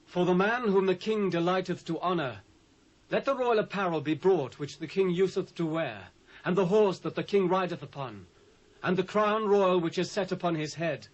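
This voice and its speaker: A man's voice: high voice, lively intonation, normal speed. A British man playing a vain, ambitious courtier in a dramatised scripture reading, in a grand declamatory manner. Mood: self-important. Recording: dull lo-fi cassette audio.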